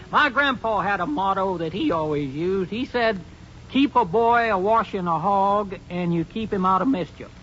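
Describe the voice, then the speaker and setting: A man's voice: high-pitched, lively intonation, moderate pace, a bit bright, slight background noise. An actor playing a folksy, rustic comic cowboy sidekick in an old radio show, chuckling as he talks. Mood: amused, joking. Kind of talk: 1940s radio comedy acting. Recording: dull vintage radio recording.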